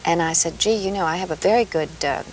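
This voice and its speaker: A woman's voice: on the low side, fast, poor audio. A woman from Minnesota, a guest on a 1970s television interview show, telling stories about her past in a gracious, anecdotal way. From Minnesota, USA. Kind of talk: relaxed talk show interview. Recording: 1970s television broadcast, light hiss.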